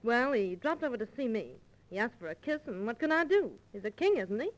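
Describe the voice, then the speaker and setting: Comic empress: highish, animated, sassy and flirtatious, vintage 1930s radio disc sound. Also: female voice, a little fast, low-quality recording. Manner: sassy, flip. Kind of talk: 1930s radio musical-comedy acting.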